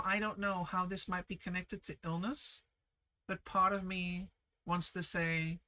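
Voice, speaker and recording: A woman leading a casual live painting lesson, reading viewer comments and chatting warmly as she paints, often laughing softly and trailing off mid-sentence. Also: low voice. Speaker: art teacher streaming a painting session.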